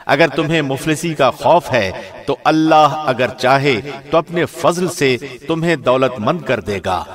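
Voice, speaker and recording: A man formally reading a scripture translation in a measured, solemn radio presenter's manner. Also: medium pitch, a crowd in the background. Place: studio.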